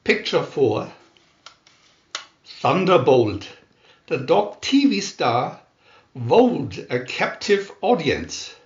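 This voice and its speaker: A man narrating a children's story in a deliberate, expressive read-aloud manner. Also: a little high. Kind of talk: scripted children's story reading. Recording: roomy, echoey, dull sound.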